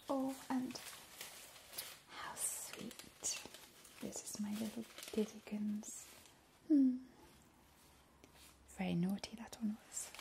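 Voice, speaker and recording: A woman plays a doting ghoulish vampire in an ASMR roleplay. Her whisper is breathy, dark and soft, lingering slowly over coaxing, playfully macabre lines. There is a faint noise behind her. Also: fairly high pitch. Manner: slow, lingering, cooing. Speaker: ASMR performer. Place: small indoor kitchen.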